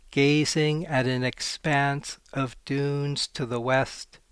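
A man, a poet, reading his poems aloud in a slow, measured way and talking about his work. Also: medium pitch, smooth, dry recording. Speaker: poet guest. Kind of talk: poetry reading.

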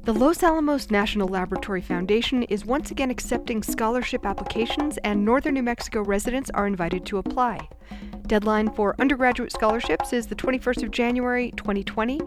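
Higher-voiced woman hosting a radio show. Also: fast talker, over music. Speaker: radio host. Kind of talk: radio interview and announcements.

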